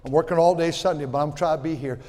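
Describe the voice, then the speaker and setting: A man in his sixties preaching a sermon in a folksy way, repeating words and swinging from loud to quiet. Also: on the high side, fairly even intonation, fast, in a large hall. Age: 60s. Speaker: pastor.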